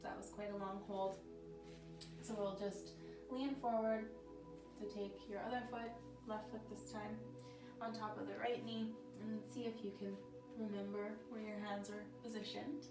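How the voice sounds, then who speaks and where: A woman teaching a yoga class, giving gentle, steady cues with a slightly scratchy voice and clearing her throat now and then. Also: medium pitch, unhurried. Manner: calm, gentle cueing with frequent throat clearing. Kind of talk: guided yoga instruction. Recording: roomy echo from a distant mic with background music. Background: soft music.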